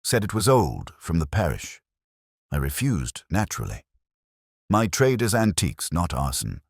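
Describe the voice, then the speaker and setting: A man's voice: low-pitched, big pitch swings, normal speed, dry recording, exaggerated voice. A man voicing a prim, haughty antique dealer with dry wit and clipped indignation in a mystery story. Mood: dry, wry.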